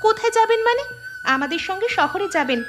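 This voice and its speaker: A woman's voice: extremely high pitch, lively intonation, fast, music in the background. A woman playing a headstrong, emotional young city daughter-in-law in a cartoon, speaking emphatically and dramatically. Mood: insistent, affectionate. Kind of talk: Bengali cartoon voice acting.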